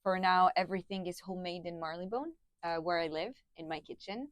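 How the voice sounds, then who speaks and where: A young woman from France, a guest on a podcast, chatty and laughing often, with many pauses and restarts. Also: lowish voice, no echo. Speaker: guest, food brand founder. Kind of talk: casual interview.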